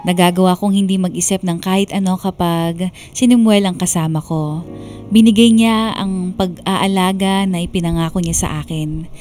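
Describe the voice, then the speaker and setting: An actress as a young Filipina confiding her love story in scripted radio narration. The voice is lowish, dark and wistful, with soft music underneath. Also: fairly even intonation, moderate pace, lightly acted. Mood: smitten, sentimental. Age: young adult. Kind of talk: scripted dramatic first-person narration.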